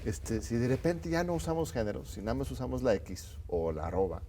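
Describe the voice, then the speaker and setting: A man's voice: mid-range voice, very animated, a little fast, mellow, slight background noise. A middle-aged man, a native English speaker from the United States, hosting a televised interview in a studio and talking in a reflective, hesitant way. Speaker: host. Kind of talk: conversational interview.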